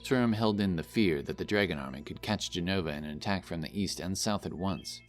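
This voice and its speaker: A man's voice: low-pitched, brisk, soft background music. A man narrating a scripted fantasy story as the narrator of an audio drama.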